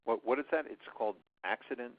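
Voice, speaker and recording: A man's voice: mid-range voice, fast, heavy background noise. A man giving marketing advice in an unscripted webinar answer, rambling with many restarts and fillers. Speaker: guest presenter, marketing expert. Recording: tinny phone-line audio.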